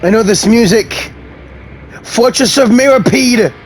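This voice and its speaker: A man's voice: very high voice, measured pace, soft background music. A man giving casual gameplay commentary as he plays, reacting off the cuff. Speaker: gamer, let's player.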